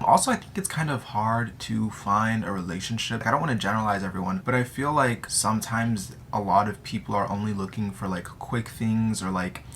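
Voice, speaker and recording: Bright, creaky young American man. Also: on the low side, a little hiss or hum. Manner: playful, joking, slangy. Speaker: vlogger answering audience questions. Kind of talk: casual chat to camera.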